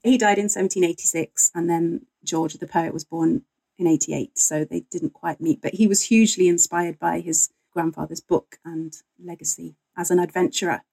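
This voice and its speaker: A woman's voice: on the low side, steady tone, moderate pace, creaky, dark tone, husky, poor audio. A woman talking conversationally as a guest historian, telling stories in an interview.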